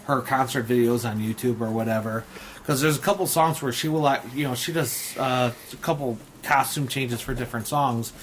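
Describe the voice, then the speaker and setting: A man's voice: medium pitch, fairly bright tone. A man from the Detroit area hosting a casual chat, rambling with many restarts and fillers, on a clamp-mounted mic. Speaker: host. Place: room with a shelf. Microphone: mic on a flexible tripod clamp.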